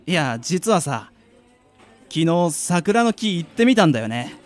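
A man's voice: on the high side, big pitch swings, measured pace, soft background music. A man playing a mild, modest young working man in a radio drama, in a hesitant, polite way. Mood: casual, modest. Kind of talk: amateur radio drama acting and narration.

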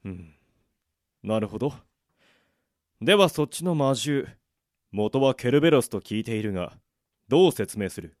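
A man playing a formal, measured, authoritative prison warden in a radio drama. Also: medium pitch, animated, no echo, clean studio sound, lightly acted. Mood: cool, stern. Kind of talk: amateur radio drama acting.

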